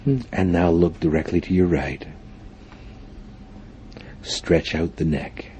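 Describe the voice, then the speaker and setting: Very deep-voiced mellow man guiding meditation. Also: a little hiss or hum. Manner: formal, deliberate phrasing punctuated by frequent hums. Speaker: guided meditation leader. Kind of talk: guided meditation instruction before a live group.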